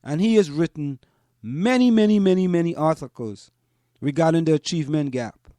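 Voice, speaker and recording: A man from Trinidad and Tobago, speaking as a show host in deliberate commentary and repeating phrases for emphasis. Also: on the high side, animated, warm tone, dry recording. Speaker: host of a community parents' talk show. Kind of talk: spoken commentary to listeners.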